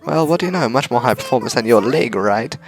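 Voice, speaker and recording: A man's voice: medium pitch, lively intonation, fast talker, lightly acted. A man voicing a cheerful, boastful girl robot in a visual novel, with a perky, teasing manner. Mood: smug, helpful. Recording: music behind the voice.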